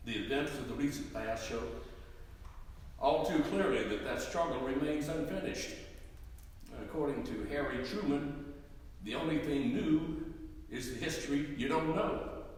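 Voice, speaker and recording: A man's voice: fairly high pitch, measured pace, long pauses. A man narrating a staged dramatic reading in a solemn, oratorical way. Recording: very echoey hall, distant mic, faint noise.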